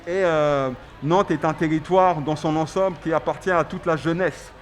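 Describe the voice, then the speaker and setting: A man from Cameroon speaking earnestly on a panel, pausing with fillers. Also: fairly high pitch, fairly even intonation, soft background music.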